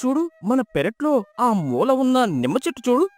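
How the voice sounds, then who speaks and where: A man voicing a poor, pious villager in a folk tale, earnest, humble and expressive. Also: extremely high pitch, moderate pace, dry recording, soft background music. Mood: amazed, reverent. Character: poor, pious, honest village husband, humble and wonder-struck. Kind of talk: dramatised folk tale storytelling.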